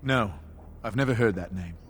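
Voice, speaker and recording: An actor voicing a suspicious, guarded village shopkeeper in a video game. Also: male voice, fairly low pitch, normal speed, a little hiss or hum, lightly acted. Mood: wary. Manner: guarded, curt. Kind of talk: video game dialogue acting.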